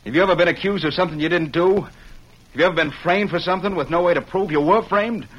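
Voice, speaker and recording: An actor playing a stubborn, vengeful young ex-convict cowboy, defiant and suspicious, in old-time radio western style. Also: male voice, sits high, fast talker, fairly bright tone, husky, lo-fi sound. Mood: bitter, heated. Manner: defiant, guarded, folksy western talk. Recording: old radio recording with background noise.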